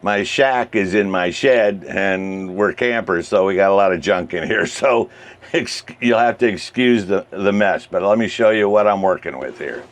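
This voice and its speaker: A man giving a casual how-to talk as a hobbyist presenter, speaking in short phrases with frequent pauses. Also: sits low. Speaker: amateur radio hobbyist presenting a how-to video. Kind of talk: casual explanatory vlog talk.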